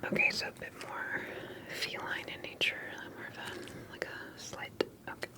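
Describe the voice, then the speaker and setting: A woman speaking in a soft, breathy whisper, gently and carefully asking questions in an ASMR interview roleplay. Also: normal speed.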